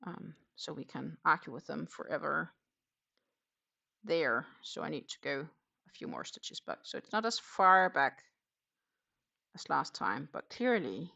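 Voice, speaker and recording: A woman with a lowish, gravelly voice full of vocal fry hosts a relaxed craft stream. She talks haltingly and very slowly, half to herself, in a self-deprecating and freely sweary manner. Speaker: host of a crafting livestream. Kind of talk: casual livestream chat while knitting.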